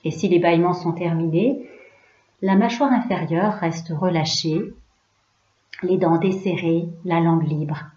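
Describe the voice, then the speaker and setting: A woman yoga teacher leading a guided relaxation in a hushed, soothing, unhurried way, leaving pauses between short phrases. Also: lowish voice. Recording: roomy, slightly dull recording.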